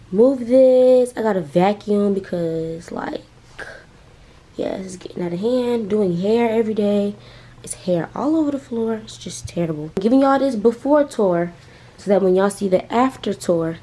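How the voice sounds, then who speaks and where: Hoarse young woman chatting casually. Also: mid-range voice, mellow. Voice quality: raspy. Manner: chatty, loose, full of "like" and "um". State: hoarse, losing her voice. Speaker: vlogger. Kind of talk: casual chat to camera. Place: her bedroom, indoors.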